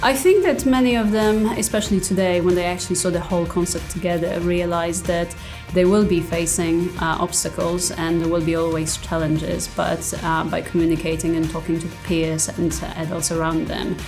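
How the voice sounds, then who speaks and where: Female interviewee, lowish flat delivery, reflective, music clearly underneath. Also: normal speed.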